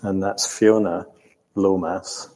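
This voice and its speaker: Older man, reflective storyteller, halting and thoughtful delivery, long hall reverb. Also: low-pitched, steady tone, mellow. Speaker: interview guest recounting own life.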